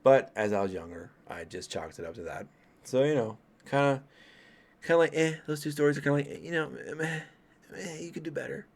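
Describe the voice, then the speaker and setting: A man's voice: mid-range voice, brisk, gravelly. A man in his late thirties from Colorado casually tells his own ghost stories to viewers, rambling with frequent restarts and asides. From Colorado, USA. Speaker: vlogger. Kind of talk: casual ghost story telling.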